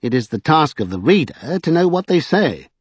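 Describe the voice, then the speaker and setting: A man's voice: a little high, very animated, moderate pace, husky, dry recording, lo-fi sound, pitched above the performer's own voice. A man voicing a gleeful, teasing vagabond who talks in riddles in a storybook reading. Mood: grandly pleased. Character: gleeful, capering, mischievous vagabond man, a living book of magic.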